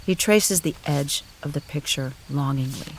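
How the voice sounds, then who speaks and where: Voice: mid-range voice, moderate pace, gravelly, a little hiss or hum, rough recording. A narrator giving formal, wordy, mock-serious dramatic narration for an improvised audio comedy. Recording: prominent music behind voice. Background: music.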